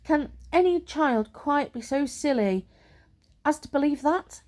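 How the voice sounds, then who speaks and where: A woman's voice: high-pitched, expressive, a little slow, a little mellow. A woman voicing a stern, no-nonsense father in a storybook, speaking firmly and solemnly with disapproval. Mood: exasperated.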